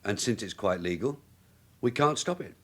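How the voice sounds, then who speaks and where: An actor playing a shrewd, middle-aged police sergeant in a radio drama, streetwise and wry. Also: male voice, fairly low pitch, lively intonation, normal speed, fairly bright tone, slightly rough. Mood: indignant. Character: shrewd, plain-speaking veteran London police detective sergeant.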